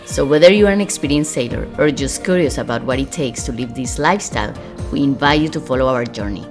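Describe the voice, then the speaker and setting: Colombian-born female co-host, deep voice, welcoming narration over music. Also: animated, fast. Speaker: sailing channel co-host.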